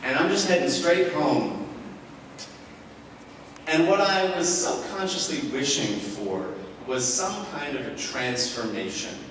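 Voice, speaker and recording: A man's voice: a little high, lively intonation, takes it slowly, a bit bright. A young man telling a wry, self-deprecating personal story live on stage to an audience. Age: young adult. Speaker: storyteller, author. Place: large indoor event space with seated audience. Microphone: stage microphone on stand, picked up from afar. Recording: roomy echoing hall, distant pickup, audience noise. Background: audience ambience and noise.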